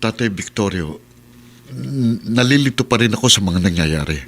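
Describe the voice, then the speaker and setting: A man's voice: lowish voice, expressive, normal speed, fairly bright tone. A man playing an earnest, distressed father in a Filipino radio drama, intense and emotional. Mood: confused.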